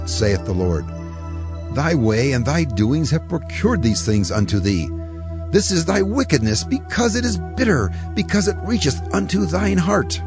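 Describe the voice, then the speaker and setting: A man playing an urgent herald crying out a divine warning in a dramatized scripture reading, declamatory and emphatic. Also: mid-range voice.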